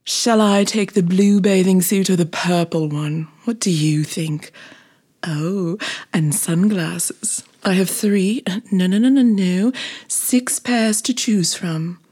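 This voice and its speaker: A woman's voice: on the low side, slow, warm tone, breathy edge. A woman voicing a vain, glamorous female bee in a children's story, gushing and fussing dramatically. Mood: vain, fussy. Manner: gushing, dramatic, self-admiring.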